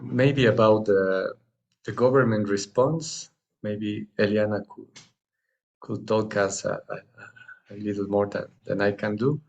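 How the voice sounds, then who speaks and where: A man from Bolivia, answering as a panelist in a halting way, often repeating words. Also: on the low side, steady tone, gravelly, a little mellow, dull audio.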